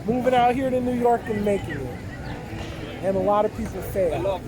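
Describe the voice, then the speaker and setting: A man's voice: sits high, normal speed, crowd noise. A man from San Francisco answering questions in a casual street interview outdoors, speaking in a hesitant, thoughtful way. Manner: hesitant, thoughtful, restarts sentences. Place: busy city park outdoors.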